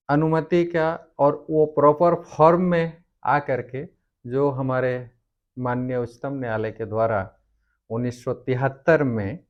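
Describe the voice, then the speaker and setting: Male legal explainer, formal and deliberate, unhurried delivery in halting phrases, slightly muffled lo-fi sound. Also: medium pitch. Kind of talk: explanatory lecture on law.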